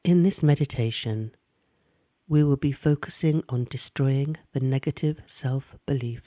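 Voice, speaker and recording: A man gently narrating a guided meditation in a calm, measured way. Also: mid-range voice, no echo. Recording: dry, tinny phone-like sound.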